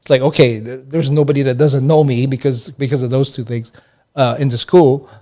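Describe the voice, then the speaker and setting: A man's voice: mid-range voice, steady tone, fairly quick, dark tone. Middle-aged man, hesitant and chatty. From Hawaii. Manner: hesitant, many fillers and restarts. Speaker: interview guest, accounting professor. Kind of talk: relaxed interview chat.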